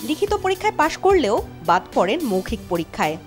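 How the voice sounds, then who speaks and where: A woman's voice: a little high, very animated, fairly quick, faint music behind. A Bangladeshi woman reporter reading scripted narration for a television news feature.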